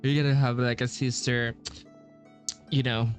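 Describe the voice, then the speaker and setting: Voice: medium pitch, level tone, a little slow, faint music behind. A game streamer giving casual playthrough commentary, hesitant and musing aloud.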